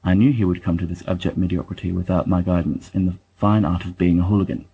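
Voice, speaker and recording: Low, husky, wry bookish man acting a letter-writer. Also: steady tone, fast, mellow. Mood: fond, exasperated. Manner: pedantic, dryly self-deprecating, wordy. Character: wry bookish young male letter-writer, fussy and affectionate. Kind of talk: dramatic reading of letters.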